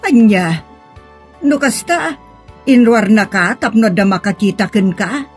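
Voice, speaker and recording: Woman acting a slow, pious, motherly elder. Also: medium pitch, expressive, soft background music, lightly acted. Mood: grave, admonishing. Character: pious, motherly older woman giving moral counsel. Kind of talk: Ilocano radio-style melodrama.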